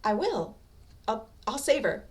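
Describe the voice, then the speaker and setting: A woman voices an eager teenage boy hero from an anime fan story. He sounds earnest and hesitant, a little nervous, with his voice lifted higher. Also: very animated, a little slow, a little mellow. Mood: nervous, determined.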